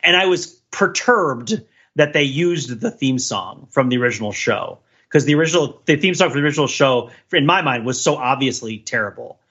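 A man's voice: medium pitch, lively intonation, brisk, a bit bright, husky, dull audio. A man co-hosting a podcast, talking casually and analytically in a discursive way, thinking aloud with many asides. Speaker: podcast co-host.

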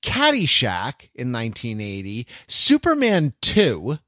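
A man in his forties chatting casually as a podcast co-host, with a halting, rambling delivery. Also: mid-range voice, huge pitch swings, bright, forceful tone, dry recording, studio quality. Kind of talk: casual chat.

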